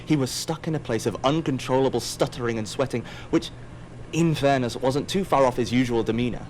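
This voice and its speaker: A man's voice: mid-range voice, fairly quick, a little mellow, rough recording. Wry male narrator over faint noise. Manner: wry, theatrical. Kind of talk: scripted audio drama narration.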